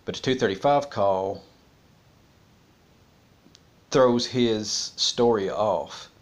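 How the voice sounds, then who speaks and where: A man in his forties talking casually and unscripted, haltingly thinking aloud, on a home vlog. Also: fairly low pitch. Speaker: vlogger discussing a criminal case. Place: small room at home, indoors.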